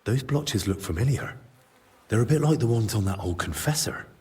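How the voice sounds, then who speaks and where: An actor playing a blunt, probing young male investigator in a video game cutscene, speaking in a measured, matter-of-fact way. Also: medium pitch, animated, darkish tone, slightly rough. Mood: pensive, observant.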